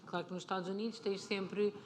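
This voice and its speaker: A woman's voice: mid-range voice, level tone, quick pace. A woman with a European Portuguese accent, speaking casually and explaining things as a guest in a live stage conversation. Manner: chatty, explanatory, informal. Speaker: interview guest, film and television producer. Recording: clear ambient noise of busy convention.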